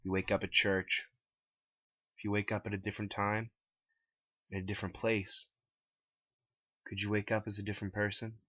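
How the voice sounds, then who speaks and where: A man reading his own story aloud as a scripted dramatic narration in a deep voice. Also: little pitch movement, moderate pace, long pauses, pushed and bright, no room sound. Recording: dull lo-fi, dry close recording.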